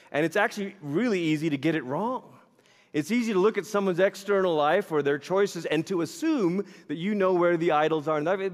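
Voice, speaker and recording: A man from Malaysia preaching a sermon in a church, telling animated, self-deprecating stories with humor. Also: fairly high pitch. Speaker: pastor. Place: church sanctuary. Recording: long reverb of a large hall.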